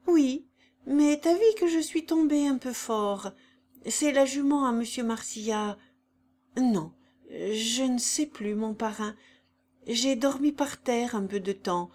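A woman voicing a sweet, simple young peasant girl, dazed and talking in a naive rustic way. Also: fairly high pitch. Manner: naive rustic speech, bright and eager.

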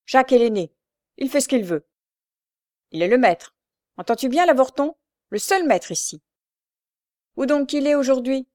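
Woman reader as old shrewish beggar mother, raised pitch, loud snarling delivery, coarse street speech, storybook villain, dry sound. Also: expressive, normal speed, no echo, close to an everyday voice. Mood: shrill, indignant. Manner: shrill, snarling, coarse lower-class speech. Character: shrewish old beggar mother, toothless, harsh, brandy-soaked.

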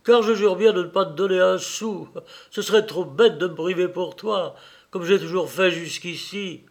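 A man's voice: high-pitched, slow pace. Man acting out a spiteful, raging mother. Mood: raging, contemptuous. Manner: raging, scornful, theatrical. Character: angry, self-pitying, spiteful middle-aged mother berating her son.

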